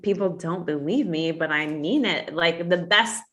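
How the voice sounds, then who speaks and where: A woman's voice: lowish voice, measured pace, fairly bright tone. A woman reflecting earnestly on how she cooks, in a rambling, casual way. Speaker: guest, cook on a competition show. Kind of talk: casual group chat.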